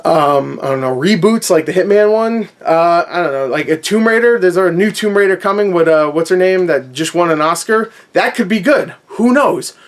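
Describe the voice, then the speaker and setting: Male vlogger, high-pitched voice, conversational and opinionated rant delivery, sarcastic with swearing. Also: lively intonation, moderate pace, hardly any pauses. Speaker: movie review vlogger.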